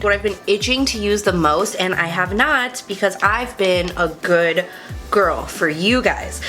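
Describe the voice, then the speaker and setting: A woman's voice: mid-range voice, fairly bright tone. A young adult woman vlogger chatting casually through a makeup tutorial, a bit congested and sniffly, talking in a confiding, self-deprecating way. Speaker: beauty vlogger.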